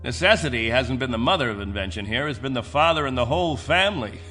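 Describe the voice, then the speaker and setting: Middle-aged colony patriarch, medium pitch, formal, commanding, possessive, radio play, light music underneath. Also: male voice, lively intonation, quick pace, bright, forceful tone. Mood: matter-of-fact. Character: proud paternalistic middle-aged male leader of stranded space colonists. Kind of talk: dramatic radio drama acting.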